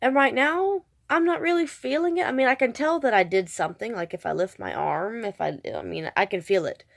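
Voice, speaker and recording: A woman's voice: a little high, brisk, gravelly. Congested woman just talking at her camera, rambly, keeps restarting her sentences, pokes fun at herself. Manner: rambling, self-deprecating, restarts sentences often. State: stuffed up, congested. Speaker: vlogger. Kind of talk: casual vlog chat.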